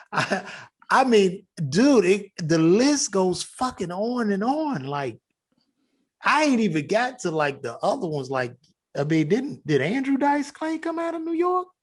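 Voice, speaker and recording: A man's voice: high voice, very animated, slow pace, husky. A man from Chicago's South Side with a Chicago accent, a guest comedian chatting on a podcast in a loose, profane way full of fillers. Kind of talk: casual podcast chat.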